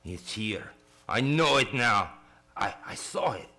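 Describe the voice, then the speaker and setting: An actor playing a terrified ship's mate on the edge of madness, stammering and agitated in an old-time radio play. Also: male voice, lowish voice, expressive, slow pace, sharp tone, exaggerated voice. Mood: fearful, obsessed.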